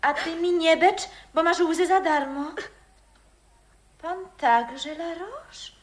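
A brisk, bossy young granddaughter in a vintage radio play. Her voice is extremely high, with lingering gaps between phrases. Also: expressive, full character voice. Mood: brisk, scolding. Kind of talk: 1960s radio drama acting.

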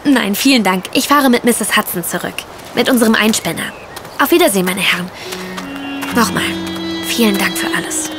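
A woman plays a naive young lady in a period radio play. Her middle-pitched voice is polite and trusting. Music runs clearly underneath. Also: expressive, moderate pace, natural voice. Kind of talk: German radio play acting.

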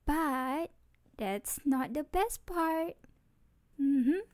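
A woman's voice: sits high, lively intonation, drawn-out words, a little mellow, no room sound, exaggerated voice. A woman playing a sweet, playful, doting young girlfriend in an ASMR roleplay, cutesy and tender, close to the microphone. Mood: excited, loving. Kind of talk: ASMR roleplay, scripted acting.

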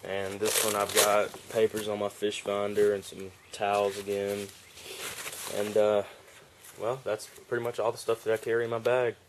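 Guy chatting about his fishing gear, low monotone voice, faint hiss behind. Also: normal speed, rough recording. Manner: laid-back, rambling with fillers. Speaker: fishing vlogger. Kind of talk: casual show-and-tell vlog. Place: outdoor wooden porch.

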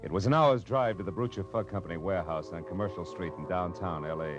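An actor playing a wry, laconic, hard-boiled American private detective in a 1950s noir radio drama. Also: male voice, low-pitched, quick pace, highs cut off, over music, lightly acted. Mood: wry narrating. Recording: noisy vintage radio recording.

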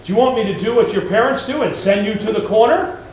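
A man's voice: high voice, expressive, quick pace. A man playing a strict, fussy voice coach who scolds and bosses his pupil. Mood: stern. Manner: scolding, bossy. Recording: very echoey room with noticeable hiss.

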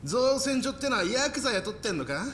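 Cocky teen delinquent, high n sharp, rough slang, game cutscene. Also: male voice, sing-song, a little fast, slight background noise, full character voice. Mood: defiant, taunting. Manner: brash, rough slang, taunting. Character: defiant, hot-headed 14-year-old male street gang boss. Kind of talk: dramatic video game acting in Japanese.

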